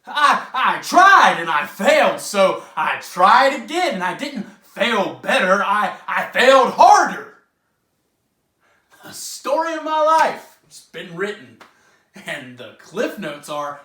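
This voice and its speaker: A young man acting a bitter, self-pitying failed playwright in a theatrical monologue, halting and profane as he addresses the audience. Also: sits high, sharp tone, exaggerated voice. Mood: anguished, self-loathing. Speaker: student actor. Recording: roomy, echoing room sound.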